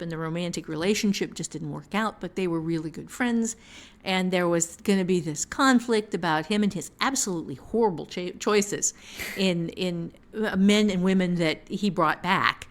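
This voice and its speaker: A woman novelist co-hosting a casual discussion of fiction writing, speaking emphatically and often with a chuckle in her talk. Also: on the low side. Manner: chuckles often while talking, emphatic repetition.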